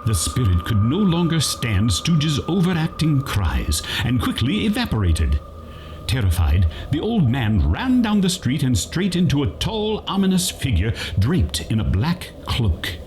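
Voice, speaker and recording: A man's voice: mid-range voice, huge pitch swings, unhurried, darkish tone, slight background noise, low-quality recording. A man playing a wry, mock-dramatic narrator, reading scripted narration for a parody radio play.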